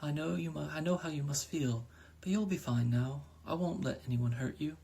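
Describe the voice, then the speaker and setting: A man voicing an alluring, gentle female crow who speaks in a calm, soothing, formal way. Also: a little high, moderate pace, gravelly, slightly rough, pitched above the performer's own voice.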